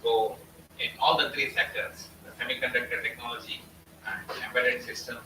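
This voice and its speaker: Man, conference guest speaker, halting delivery, echoey distant hall audio with noise. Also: medium pitch, little pitch movement. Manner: halting, many "uh" pauses. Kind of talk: formal speech with frequent fillers. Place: auditorium, heard from a distance. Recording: roomy hall audio from far off, noisy.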